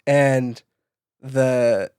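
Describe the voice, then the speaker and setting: A man's voice: medium pitch, steady tone, drawn-out words, a little mellow, clear, resonant tone, no echo, clean studio sound. A man co-hosting a podcast, telling stories in a casual, informal way and acting out bits of conversation. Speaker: podcast co-host, event photographer. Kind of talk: casual podcast chat, storytelling.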